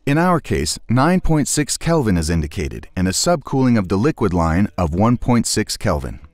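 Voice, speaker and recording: Man, instructional narration, animated pitch movement, precise formal delivery, dry close recording. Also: moderate pace, no room sound. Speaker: technician presenter.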